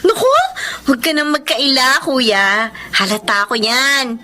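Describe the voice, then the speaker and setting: A teasing younger sister, affectionate toward her family. Her voice is high and bright, in Filipino radio drama style. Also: lively intonation, unhurried, faint music behind, heavily acted.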